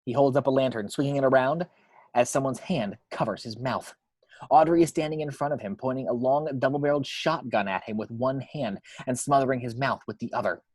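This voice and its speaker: A man reading screenplay action description as a narrator, with measured, suspenseful storytelling. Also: mid-range voice, darkish tone, slightly rough, no room sound.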